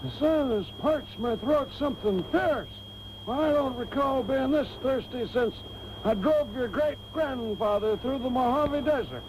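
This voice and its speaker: Slow, high-voiced feeble old servant. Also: male voice. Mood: meek, apologetic. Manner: slow, obsequious. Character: feeble old male servant, meek and deferential. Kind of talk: comedic radio serial acting. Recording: noisy old radio tape.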